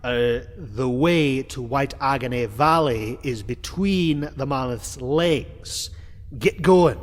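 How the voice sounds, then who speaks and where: A man's voice: mid-range voice, takes it slowly, close to an everyday voice. A man voicing a tough, proud young cartoon duck prospector in a fan comic dub, blunt and boastful. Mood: blunt, teasing.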